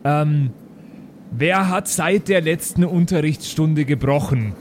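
Joking man with a slightly high voice. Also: slow pace, a little mellow, a little hiss or hum. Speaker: role-playing game player. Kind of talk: improvised in-character banter.

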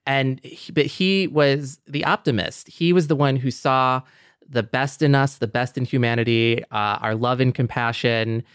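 Low-voiced woman chatting on a podcast. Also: unhurried, clean tone, no room sound, studio quality. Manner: candid, conversational. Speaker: podcast co-host. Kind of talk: casual podcast chat.

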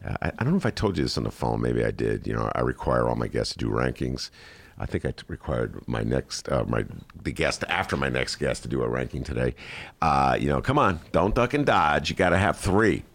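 Deep gravelly male talk-show host. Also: lively intonation, brisk. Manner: loose, chatty, jokey. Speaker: podcast host. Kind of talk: casual interview chat.

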